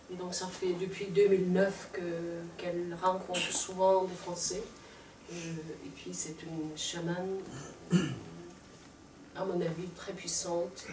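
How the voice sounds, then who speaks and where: A woman's voice: fairly low pitch, darkish tone, slight background noise. A woman with a Mongolian accent, speaking as presenter and interpreter in a live conference talk, hesitant with frequent fillers. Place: lecture room. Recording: echoey room, mic far off.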